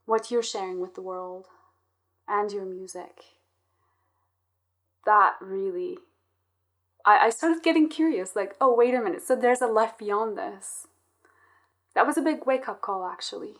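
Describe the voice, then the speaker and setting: Reflective woman interviewer, medium pitch. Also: measured pace, pauses often.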